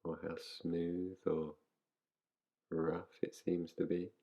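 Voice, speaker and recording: A man guiding a relaxation meditation in a calm, unhurried, soothing way, leaving long pauses between phrases. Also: sits low, dull audio. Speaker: meditation guide. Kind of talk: guided relaxation, yoga nidra meditation.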